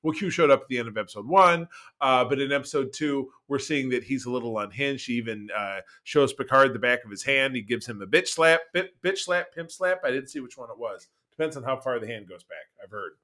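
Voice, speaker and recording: A man co-hosting a podcast, reviewing TV in casual chat with an enthusiastic, emphatic and halting delivery that often repeats words. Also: mid-range voice, bright, forceful tone. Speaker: podcast co-host.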